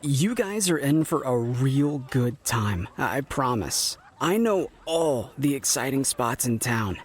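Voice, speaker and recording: A man's voice: mid-range voice, sing-song, takes it slowly, slight background noise. A man playing a laid-back, wry knight trainer with a casual manner in an audio drama. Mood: breezy. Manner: casual, hesitating.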